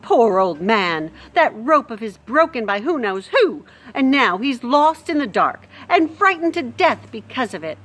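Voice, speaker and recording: A woman voicing a ferocious, mean-hearted cook who wails and shouts in slow, drawn-out bursts. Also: a little high, very animated, pitched above the performer's own voice, full character voice. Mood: distraught.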